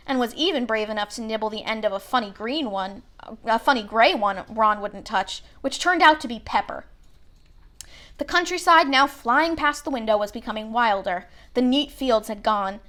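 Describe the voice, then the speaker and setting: High-pitched woman reading a storybook. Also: pushed and bright. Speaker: read-aloud narrator. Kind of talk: expressive storybook narration.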